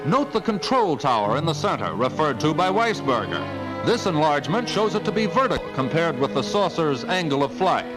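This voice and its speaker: A man's voice: on the high side, big pitch swings, brisk, low-quality recording. An American man narrating a 1950s newsreel in an emphatic, portentous way, on an old film soundtrack. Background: newsreel music.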